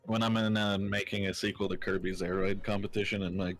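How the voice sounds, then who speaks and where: Man, lowish pitch, rapid casual delivery, group livestream chat over light music. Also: level tone. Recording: music playing behind the voice. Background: background music.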